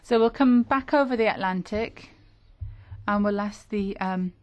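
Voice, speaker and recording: A woman's voice: medium pitch, measured pace, darkish tone. A woman interpreting at a conference, speaking hesitantly with frequent ums.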